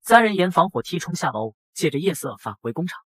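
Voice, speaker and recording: A man voicing a brash young factory boss who narrates his own dramatic motion-comic story in a husky voice. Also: a little high, big pitch swings, fairly quick, fairly bright tone, dry recording. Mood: tense narrating. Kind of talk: dramatic first-person scripted narration.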